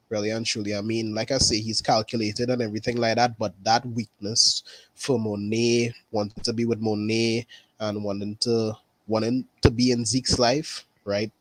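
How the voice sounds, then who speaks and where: A man from Trinidad with a Trinidadian accent, chatting casually about a TV episode, unhurried and repeating his phrases. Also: mid-range voice, no echo, low-quality recording. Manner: unhurried, repeats phrases, says "really and truly". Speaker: caller.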